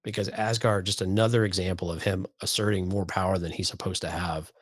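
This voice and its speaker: A man's voice: deep voice, steady tone, brisk, no room sound, clean studio sound. A man co-hosting a podcast, giving his commentary on a story in casual conversation. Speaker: podcast co-host. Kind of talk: casual discussion commenting on an audio drama.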